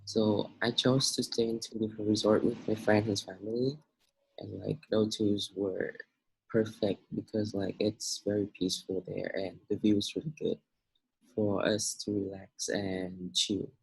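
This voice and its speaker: A man's voice: lowish voice, little pitch movement, unhurried, vocal fry, breathy edge, dry recording, rough recording. A male student from Vietnam with a Vietnamese accent, giving an informal presentation in an online class.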